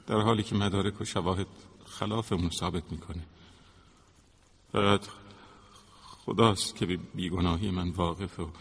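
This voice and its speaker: An actor playing a devout, remorseful middle-aged husband condemned by a court, pleading and solemn in a drama. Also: male voice, low voice, vocal fry, a little hiss or hum. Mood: resigned. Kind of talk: dramatic acting.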